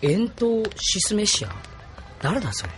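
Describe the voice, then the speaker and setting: An actor playing a brisk young driver and comrade in an anime audio drama, blunt and informal. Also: male voice, high voice, sing-song. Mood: casual.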